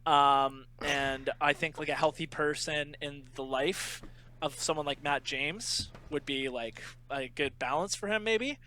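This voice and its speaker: Male podcast co-host, bright and somewhat high voice, joking and sarcastic. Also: unhurried. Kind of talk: casual chat.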